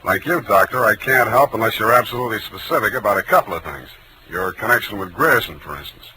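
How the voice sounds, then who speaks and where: Wry hard-boiled 1940s radio detective. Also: male voice, fairly low pitch, lively intonation, fast talker, bright, forceful tone, slight background noise, poor audio. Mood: probing, skeptical. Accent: American. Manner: dry, wisecracking. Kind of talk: 1940s radio acting with first-person narration. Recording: old 1940s radio recording, music behind.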